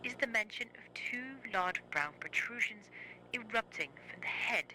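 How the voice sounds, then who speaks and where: A performer playing a formal, measured news reporter reading a scripted bulletin in a horror series. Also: mid-range voice, expressive, pushed and bright, a little hiss or hum, poor audio. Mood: grave, formal.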